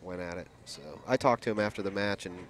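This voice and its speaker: A man's voice: fairly low pitch, quick pace. A man commentating a pool match, chatty and reacting to each shot with encouragement. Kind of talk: play-by-play commentary. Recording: noisy crowd in the background.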